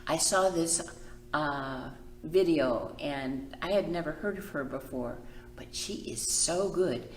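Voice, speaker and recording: A woman's voice: low-pitched, slow, a little hiss or hum. A woman hosting a children's storytime, speaking expressively in simple phrases and drawing words out for young listeners. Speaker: children's librarian and storytime host. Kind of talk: children's storytime reading and teaching. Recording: echoey room.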